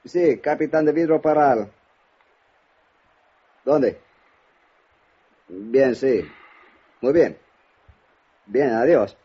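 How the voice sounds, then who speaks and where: An actor playing a shrewd Mexican police captain who gropes for English words in a Mexican Spanish accent, in 1950s radio drama style. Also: male voice, mid-range voice, mellow, breathy edge. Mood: earnest, halting. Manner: halting, groping for English words. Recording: dull, noisy old radio recording with clicks.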